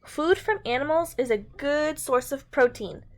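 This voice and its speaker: A woman's voice: sits high, animated, measured pace. A woman reading a picture book aloud to young children and chatting to them in simple, encouraging language.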